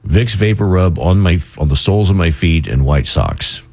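A man hosting a morning radio show, chatting casually while hoarse from a cold, rambling with lots of fillers. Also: low-pitched, animated, a little mellow. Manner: rambling, halting, with many fillers. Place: radio studio.

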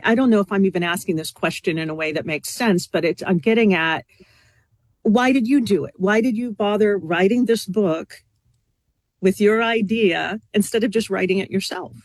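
Female interviewer, mid-pitched, very dry recording, conversational and probing, challenges while thinking aloud. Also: normal speed, no room sound.

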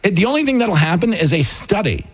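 Male talk radio host, raspy and gravelly, comic banter with big swings in pitch. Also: fast talker. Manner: jokey, animated, slipping into mock dialogue.

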